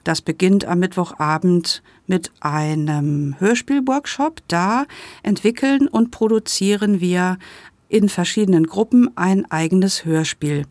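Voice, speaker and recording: A woman's voice: fairly low pitch, unhurried, darkish tone, dry recording. A woman presenting a report in a conversational way, as a host. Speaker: host introducing an event report.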